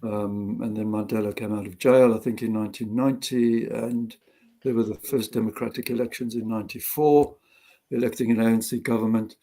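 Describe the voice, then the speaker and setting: An older man with a South African accent talks through his past slowly and thoughtfully, pausing often to find the right word. His voice is low and gravelly with a dark, mellow tone. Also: steady tone. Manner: measured, reflective, frequent ums and restarts. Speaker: guest. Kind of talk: interview, personal history.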